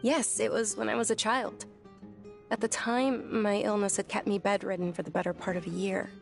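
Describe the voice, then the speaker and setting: Game role: young highborn lady, female actor, gentle, courteous, frail, slightly creaky voice, music behind. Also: fairly even intonation, moderate pace, natural voice. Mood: wistful. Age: young adult. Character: gentle, polite, frail young noblewoman, earnest. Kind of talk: video game voice acting.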